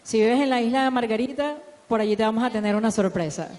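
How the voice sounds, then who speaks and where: Chatty high-voiced voice actress. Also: female voice, fast talker, a little mellow. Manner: chatty, self-deprecating. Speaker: voice actress on a panel. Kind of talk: panel discussion. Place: large event hall. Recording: long hall reverb, faint background noise.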